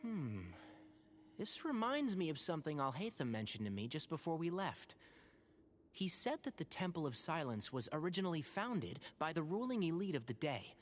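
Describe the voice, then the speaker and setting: Lively, lightly pitched young scholar. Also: male voice, moderate pace, slight background noise. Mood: thoughtful, earnest. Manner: earnest, articulate, explanatory. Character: gentle, thoughtful young male scholar-ranger, earnest and sensible. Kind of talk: video game voice acting.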